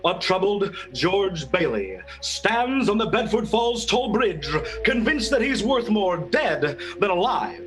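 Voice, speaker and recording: A man's voice: sits high, very animated, slow pace, hardly any pauses, over music. A man narrating and announcing a radio play from a script.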